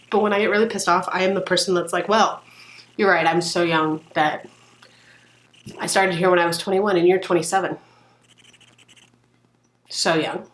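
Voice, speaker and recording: Young woman, early twenties, casual vlog rant, frank, laughs often, lower voice, roomy echo. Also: a little hiss or hum. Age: 20s. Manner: animated, frank, laughs often between sentences. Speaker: vlogger. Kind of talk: casual ranting vlog to camera. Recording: echoey room.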